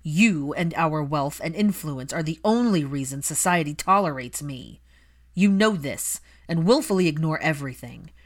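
Resentful highborn young man, performed by a female narrator, lowish register, forceful, biting and deliberate, for dramatic story reading. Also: lively intonation. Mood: furious. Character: bitter adult aristocratic son, cold, angry, resentful.

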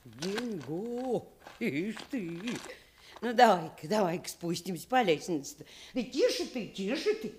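A woman's voice: mid-range voice, big pitch swings, a little slow, a little mellow, slightly rough, full character voice. A woman playing a fond, bustling old village grandmother in a radio play, coaxing in folksy rural Russian dialect. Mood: fond, soothing.

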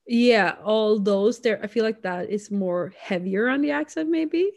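A woman's voice: mid-range voice, unhurried, creaky. Chatty young Swedish-accented woman. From Sweden. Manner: chatty, quotes people in animated bursts, laughs often. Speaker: podcast co-host. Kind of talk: casual chat.

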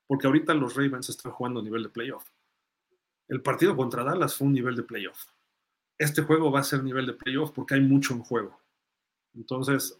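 A man's voice: mid-range voice, fairly bright tone. Man casually talking sports on a podcast. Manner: conversational, thinking aloud, reading comments out. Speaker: host of a live sports fan podcast. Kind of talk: casual sports commentary, answering listener comments.

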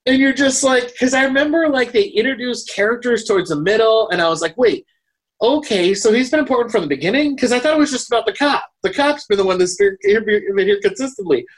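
A woman's voice: on the high side, fast talker, few pauses, poor audio. A woman co-hosting a podcast, giving a casual film review in conversation. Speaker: podcast co-host.

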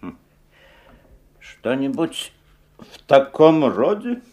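An actor playing a strange, mysterious magic shop owner in a 1970s radio drama, slow and insinuating, with hesitant hums. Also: male voice, medium pitch, very animated, a little mellow. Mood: mysterious, courteous.

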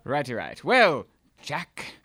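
A man voicing a polite, fussy gentleman agent. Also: mid-range voice, huge pitch swings, slow, breathy edge, no room sound, clean studio sound, exaggerated voice. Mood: genteel.

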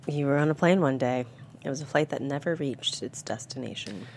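A woman's voice: very deep voice, fairly quick, darkish tone, a little hiss or hum. A woman playing a mysterious, composed figure who speaks with eerie certainty, in a table read of a thriller. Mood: cryptic, calm.

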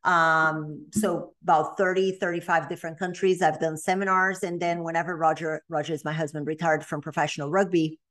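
Brazilian lady in her late 30s chatting on a podcast, kinda low voice, lots of ums. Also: flat delivery, brisk, few pauses, a bit bright. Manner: chatty, storytelling, many ums and restarts. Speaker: guest. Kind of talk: casual podcast interview.

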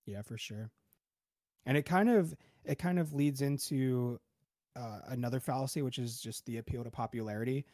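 Calm explaining man, dry sound. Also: mid-range voice, normal speed, no echo. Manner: calm, explanatory, gives examples. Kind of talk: casual panel discussion.

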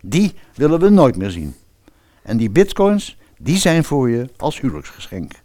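A man's voice: mid-range voice, huge pitch swings, moderate pace, dark tone, dry recording, clean studio sound. A man playing a doting father of a teenage girl in a radio fairy tale. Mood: relieved, doting. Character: doting, relieved rich father of a teenage princess. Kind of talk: Dutch radio play acting.

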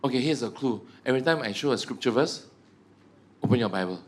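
A man's voice: mid-range voice, expressive, fast talker. Joking Malaysian man teaching a church group. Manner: joking, self-mocking, tags sentences with "ah" and "huh". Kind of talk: humorous teaching talk to a prayer group.

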